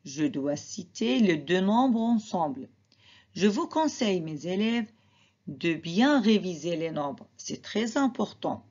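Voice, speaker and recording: A woman teacher slowly explaining and repeating examples to her students, talking to them directly. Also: fairly low pitch, sing-song, a little mellow, dull audio. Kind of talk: slow classroom explanation.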